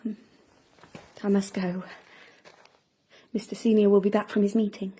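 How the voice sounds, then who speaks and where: An actress playing a wistful married woman in an audio drama, with a breathy, whispery voice and solemn delivery. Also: medium pitch, level tone, normal speed, mellow, slight background noise, lightly acted. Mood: urging. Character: wistful married woman, Mrs Senior, reflecting on mortality.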